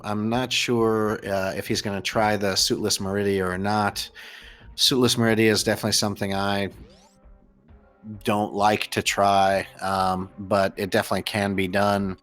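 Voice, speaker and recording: A man's voice: on the low side, fairly even intonation, measured pace, a bit bright, faint music behind. An American man commentating and interviewing on a live gaming race in a casual, chatty, jokey way. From United States. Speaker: host and commentator.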